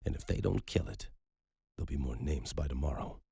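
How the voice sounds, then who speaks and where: Cold wounded hunter, voiced by a deep man. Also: creaky, husky, no room sound, studio quality, heavily acted. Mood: ominous. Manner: flat, low, deliberate.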